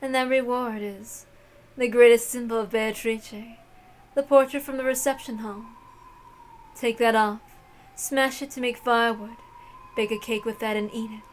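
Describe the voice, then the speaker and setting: A woman voicing a cruel, imperious witch mistress who speaks in a languid, haughty, measured way. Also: fairly high pitch, steady tone, slight background noise, natural voice, low-quality recording. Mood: cool, imperious.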